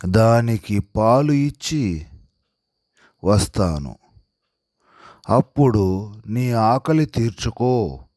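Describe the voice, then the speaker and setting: A man voicing a gentle mother cow from a moral storybook, pleading slowly in short, pitiful phrases. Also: on the low side, lively intonation, no room sound, clean studio sound, heavily acted. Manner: pitiful, imploring, slow.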